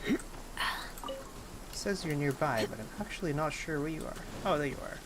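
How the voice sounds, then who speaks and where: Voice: mid-range voice, lively intonation, normal speed, background noise. A game streamer giving casual live commentary, rambling and often breaking off mid-thought while thinking aloud. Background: game music.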